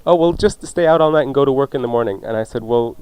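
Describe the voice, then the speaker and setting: A man's voice: mid-range voice, fast, darkish tone, clear, resonant tone, no echo, poor audio. A man co-hosting a radio show in casual, chatty banter, explaining things in a breezy way.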